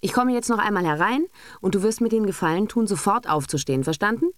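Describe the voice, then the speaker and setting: A woman's voice: mid-range voice, animated, fast, dry recording, studio quality, pitched above the performer's own voice, natural voice. A woman voicing a haughty, irritable bourgeois mother in an audiobook, speaking sternly and sharply with sarcasm. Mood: sharp, exasperated. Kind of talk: audiobook character acting.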